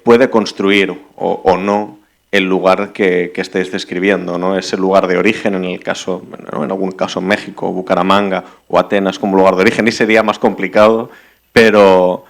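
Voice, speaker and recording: A man from Catalonia moderating a literary panel, asking long questions with many restarts. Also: low-pitched. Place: library event hall.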